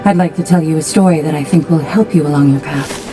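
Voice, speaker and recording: A composed valkyrie played by an actress with a fairly low female voice. She is thoughtful and earnest, narrating like a patient mentor in a game, with music clearly behind her. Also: lightly acted. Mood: calm, reflective. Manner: measured, earnest storytelling. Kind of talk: dramatic game storytelling.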